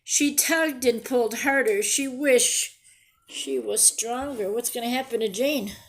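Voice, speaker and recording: A woman reading a picture book aloud to young children in an expressive, deliberate way, pausing to ask simple questions and explain things. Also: a little high. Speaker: children's storyteller and teacher.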